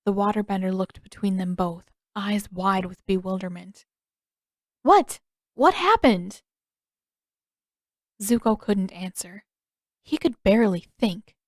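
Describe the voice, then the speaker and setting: A woman's voice: medium pitch, steady tone, moderate pace, a little mellow, no room sound, studio quality. A woman reading scripted fiction as the narrator of an audio story.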